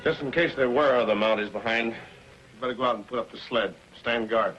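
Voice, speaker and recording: An actor playing a ruthless, calculating outlaw boss in a 1950s TV western, blunt and commanding, with rustic speech. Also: male voice, mid-range voice, fairly quick, lo-fi sound, background noise, natural voice. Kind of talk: 1950s television western acting. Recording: old 1950s TV soundtrack with music.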